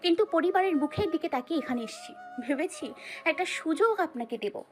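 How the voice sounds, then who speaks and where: A woman playing a frightened but defiant young bride-to-be in a romantic audio story, pleading in a tearful, halting manner. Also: very high voice, animated, faint music behind. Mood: hurt, defiant.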